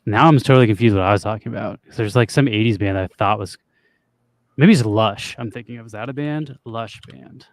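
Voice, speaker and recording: A young man with a low, raspy voice, chatting loosely and swearing casually as a podcast co-host. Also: brisk, no room sound. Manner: loose and profane, trails off with fillers. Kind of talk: casual chat with guitar and singing.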